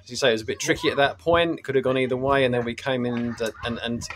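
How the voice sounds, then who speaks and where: Man, club interviewer, relaxed chatty questions, faint hiss. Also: mid-range voice, very fast. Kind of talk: post-match interview.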